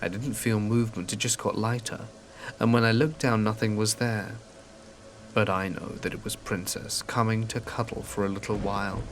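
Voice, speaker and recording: Low-voiced man narrating over faint rain. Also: fairly even intonation, normal speed, a little hiss or hum, poor audio. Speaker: narrator of submitted stories. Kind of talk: calm scripted horror narration. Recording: faint rain ambience behind.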